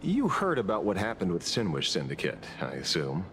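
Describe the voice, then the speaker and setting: A man's voice: low voice, wildly swooping pitch, a little fast, fairly bright tone, slight background noise, full character voice. A man playing a sly, teasing veteran investigator in a video game, with a casual, wry and theatrical delivery. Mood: serious. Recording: music behind the voice.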